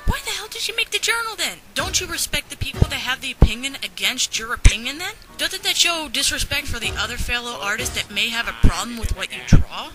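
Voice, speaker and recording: A woman tears into a subject in loose, unscripted commentary, sarcastic and swearing freely. Her voice sits a little high. Loud music plays under her the whole time. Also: animated, brisk, few pauses, low-quality recording. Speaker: commentary host.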